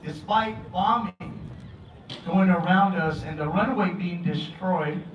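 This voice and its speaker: A man's voice: high voice, slow pace. A man in his fifties who grew up in Vietnam and speaks Vietnamese as his first language, giving an earnest, grateful speech at a memorial ceremony. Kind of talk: prepared public speech. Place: aboard a museum ship, outdoors. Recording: echoey, distant, dull PA sound, noisy.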